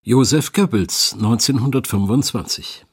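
A man's voice: mid-range voice, measured pace, husky. A man reading scripted factual narration as a documentary's second narrator.

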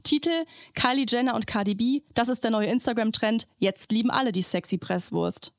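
A higher-pitched woman speaks in a very dry recording. She co-hosts a podcast in a colloquial storytelling style, reading out quotes with open indignation and emphasis. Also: fairly quick, no room sound. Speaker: podcast co-host.

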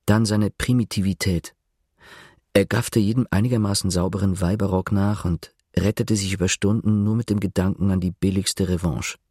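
Deep, husky male narrator. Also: darkish tone, no echo, studio quality. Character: narrator retelling another man's confession, detached, ironic. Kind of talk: scripted literary narration in radio drama.

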